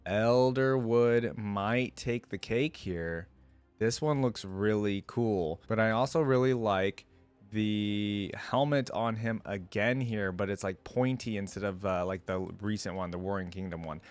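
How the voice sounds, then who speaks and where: A man's voice: fairly low pitch, measured pace, clear, resonant tone, dry recording, soft background music. A man giving informal, rambling and opinionated commentary in a casual reaction video. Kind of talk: casual reaction commentary, partly reading aloud.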